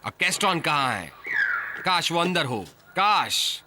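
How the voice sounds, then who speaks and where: A man's voice: on the high side, big pitch swings, a little slow, background noise. An actor voicing a stern, protective and fretful male cartoon adventurer. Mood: worried. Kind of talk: Hindi cartoon dub acting.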